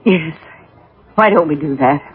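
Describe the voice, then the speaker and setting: A woman's voice: low voice, a little slow, breathy edge, heavily acted. An actress playing an earnest, uneasy middle-aged married woman in an American radio drama, intense and a little hesitant. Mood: uneasy, insistent. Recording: muffled phone-like old radio broadcast.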